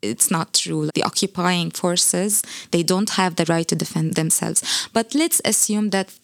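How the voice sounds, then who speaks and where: Woman, forceful and low. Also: a little slow, dry recording, clean studio sound. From Palestine. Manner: forceful, rhetorical questions. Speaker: interviewee. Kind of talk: impassioned interview answer.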